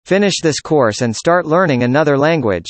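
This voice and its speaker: A man reading a student's part in a scripted classroom conversation, with an even, measured delivery. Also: a little high, dry recording. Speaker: student in a dialogue.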